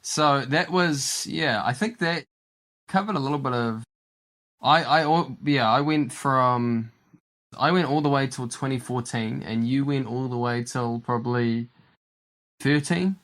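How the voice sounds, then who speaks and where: A man's voice: mid-range voice, unhurried, fairly bright tone. A man from New Zealand hosting a podcast, talking casually in a rambling way with lots of fillers and laughter. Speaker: podcast host. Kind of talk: casual chat.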